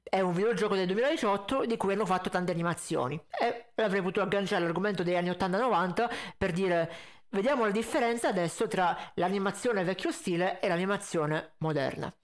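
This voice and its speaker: Young guy, high voice, ranting casually and emphatically in a reaction vid, close mic. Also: fast. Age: 20s. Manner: critical, emphatic. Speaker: reaction video host. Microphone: close desk condenser mic with pop filter, headset.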